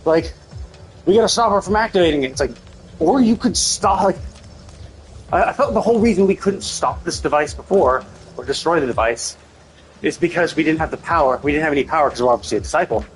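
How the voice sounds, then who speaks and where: Higher-voiced man streaming games. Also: lively intonation, fast, slight background noise, poor audio. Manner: rambling, critical, repeats himself for emphasis. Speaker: game streamer. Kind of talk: casual live game commentary. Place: live stream, game music behind.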